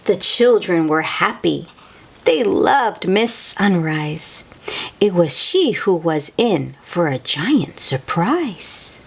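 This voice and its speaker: A woman reading a picture book aloud to young children in an expressive, sing-song way, with chatty asides to the listeners. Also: lowish voice, takes it slowly, creaky, a little hiss or hum. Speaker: children's read-aloud host.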